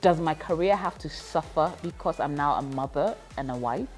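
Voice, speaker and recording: Woman, 30, vlogger. Lower-pitched, reflective, laughs a lot, light music bed. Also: normal speed, darkish tone. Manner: reflective, laughs often, many fillers. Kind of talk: casual confessional vlog.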